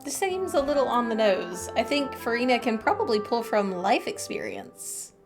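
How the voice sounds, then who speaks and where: A woman's voice: fairly high pitch, big pitch swings, moderate pace. A woman giving casual, sympathetic commentary as she plays through a game. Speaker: game streamer. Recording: game music behind the voice.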